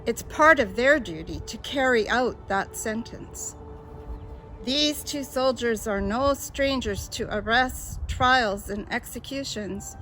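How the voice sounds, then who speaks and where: Casting: female narrator for a solemn drama, high voice, very unhurried delivery. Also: fairly bright tone, low-quality recording. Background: music.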